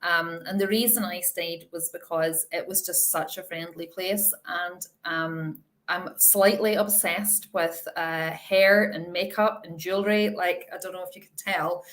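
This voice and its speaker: Chatty Irish woman panelist, lower voice, easy and self-mocking. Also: fairly even intonation, measured pace, a bit bright. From Ireland. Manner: chatty, enthusiastic, laughs at herself. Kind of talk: live Q&A panel chat.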